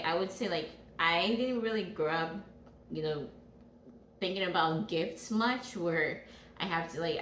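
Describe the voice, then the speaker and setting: Casting: woman, slightly low voice, hesitant casual vlog chat, roomy sound with some noise. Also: fairly bright tone. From Ghana. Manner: hesitant, searching for words, repeats herself. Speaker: co-host of a family vlog. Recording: roomy echo, clear background noise.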